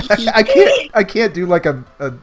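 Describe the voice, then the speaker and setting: A man's voice: sits high, wildly swooping pitch, takes it slowly. Amused man reading aloud over music. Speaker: host and narrator of a group read-along. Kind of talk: casual read-aloud of a storybook with asides. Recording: music playing behind the voice.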